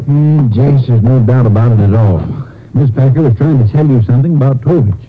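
An actor playing a plain-spoken, folksy Texas sheriff in an old-time radio crime drama. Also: male voice, mid-range voice, fairly quick, phone-quality sound, music in the background, natural voice. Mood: grim, certain. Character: plain-spoken small-town Texas sheriff, a grown man. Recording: band-limited vintage radio recording.

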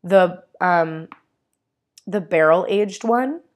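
A hoarse young woman with a raspy voice and vocal fry, co-hosting a casual podcast chat, giggling often and saying "like" a lot. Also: fairly low pitch, very slow. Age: young adult. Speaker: podcast co-host.

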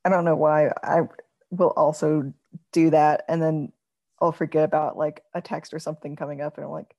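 A woman's voice: low voice, flat delivery, moderate pace, warm tone, dry recording, dull audio. A young adult woman chatting informally as a podcast co-host, with a wry, teasing way of talking. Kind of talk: casual banter between siblings.

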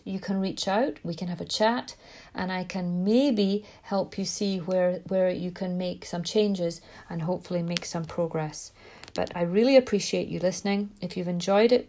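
A Scottish woman from Scotland hosting a parenting podcast, talking to listeners in a gentle, reflective way with frequent small restarts. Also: mid-range voice. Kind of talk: solo podcast talk.

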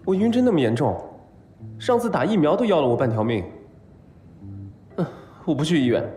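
A man playing a flustered, lovestruck young patient in an audio drama, hesitant and stammering, given to melodramatic excitement. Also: a little high, a little fast, a little hiss or hum. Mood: reluctant, timid. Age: young adult. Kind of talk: audio drama acting with inner monologue. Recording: roomy echo, music behind.

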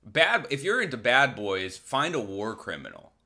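A man's voice: medium pitch, huge pitch swings, normal speed, pushed and bright. An American man from Massachusetts hosting a solo podcast, delivering a casual, rambling comedic monologue with an irreverent, crude, sardonic manner. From Massachusetts, United States.

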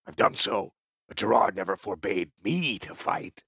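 A man's voice: on the low side, animated, a little slow, creaky, sharp tone, husky, dry recording, clean studio sound, full character voice. A man voicing a proud, defiant male crossbow troop leader in a fantasy audiobook, boastful and unrepentant.

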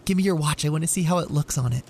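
Man putting on an eager young wife, high-pitched. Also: rapid-fire, darkish tone, slight background noise, natural voice. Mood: excited. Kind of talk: dramatic storybook reading.

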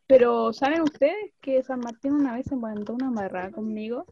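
A young Chilean woman with a Chilean accent, co-hosting a podcast and telling stories in a lively, laughing way. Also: fairly high pitch, a little fast. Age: young adult. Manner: animated storytelling, laughs often. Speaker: podcast co-host. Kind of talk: casual chat. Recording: slightly dull lo-fi sound.

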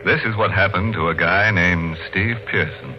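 An actor playing a gleefully morbid, mock-genial horror host in 1940s radio style, with theatrical delivery. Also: male voice, low-pitched, moderate pace, lightly acted. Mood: sly. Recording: dull old radio recording, music behind.

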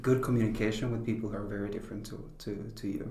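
A man from Sweden is a guest on a podcast and speaks over a video call. His voice is fairly low, and he pauses and restarts his sentences often. The call audio sounds roomy. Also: steady tone, warm tone. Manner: hesitant, many restarts and fillers. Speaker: guest, politician and former teacher. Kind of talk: podcast interview.

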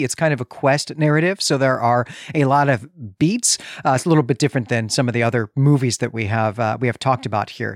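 A man's voice: mid-range voice, no echo, clean studio sound. A man co-hosting a podcast in an earnest, emphatic, conversational style. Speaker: podcast co-host. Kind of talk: conversational film discussion and plot synopsis.

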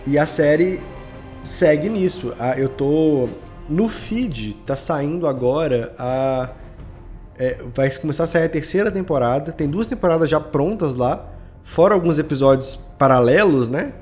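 A man's voice: fairly high pitch, lively intonation, unhurried, a little mellow, soft background music. A Brazilian man chatting casually as a podcast guest, explaining his creative work in informal, slangy speech. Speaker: podcast guest, audio drama creator and editor. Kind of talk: casual interview chat.

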